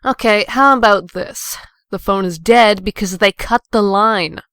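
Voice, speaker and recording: A woman's voice: medium pitch, unhurried, a bit bright, clear, resonant tone, no room sound, studio quality. A woman playing a defiant, bitter young punk in a comic dub, biting and sarcastic. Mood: sarcastic, scornful.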